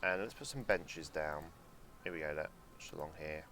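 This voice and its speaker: A young man with a low voice talks casually over a theme park game on a live stream. His tone is bright and forceful. He rambles to his chat, makes sarcastic asides and swears, with a faint hum of background noise. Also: moderate pace. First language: German.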